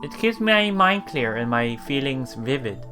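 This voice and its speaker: A man's voice: mid-range voice, very animated, moderate pace. A man doing a let's play, reading a visual novel aloud as narrator and adding casual remarks. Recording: game music behind the voice.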